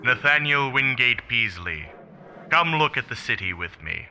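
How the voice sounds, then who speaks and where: A man's voice: mid-range voice, sing-song, measured pace, sharp tone, a little hiss or hum, exaggerated voice. A man playing a formal, grave extraterrestrial in a radio play, speaking in stiff, literal phrases. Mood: solemn. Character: formal, grave extraterrestrial being, puzzled by humans.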